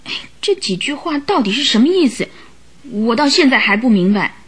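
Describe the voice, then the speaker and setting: Troubled, high-voiced young woman client. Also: big pitch swings, moderate pace, husky. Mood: puzzled, troubled. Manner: earnest, careful, emotive. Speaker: radio drama actress. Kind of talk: old Chinese radio drama acting.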